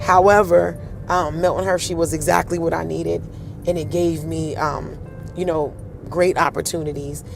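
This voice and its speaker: Deep husky-voiced woman telling stories. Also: normal speed, soft background music. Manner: animated, acts out quoted voices. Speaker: host. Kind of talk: casual storytelling walking tour.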